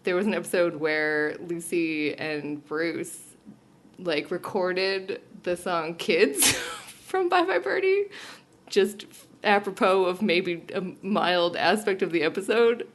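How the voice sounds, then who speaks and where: A woman's voice: fairly low pitch, slow pace, a little hiss or hum. A woman co-hosting a podcast, chatting casually about a TV show in a sweary, self-mocking, nerdy way. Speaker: podcast co-host. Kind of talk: casual chat recapping a TV show.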